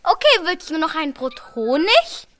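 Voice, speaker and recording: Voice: high voice, big pitch swings, unhurried, a bit bright, heavily acted. A childlike performer playing an affectionate, caring mother in an amateur school radio play, speaking simply and with endearments. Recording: noisy amateur school recording.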